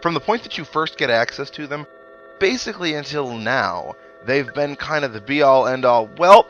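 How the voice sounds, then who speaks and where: A man's voice: medium pitch, animated, moderate pace, fairly bright tone, muffled recording. Man casually thinking aloud over game music. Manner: thinks aloud, analytical asides. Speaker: let's player. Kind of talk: casual video game commentary. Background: video game music and sound effects.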